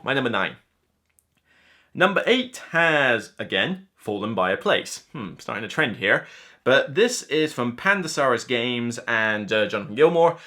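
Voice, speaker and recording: A man in his thirties with a mid-pitched voice talks casually to camera as the host of a board game channel. His delivery is unscripted, chatty and emphatic, slipping into imagined reactions from players as he explains things. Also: sharp tone. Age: 30s. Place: small indoor room lined with shelves of games.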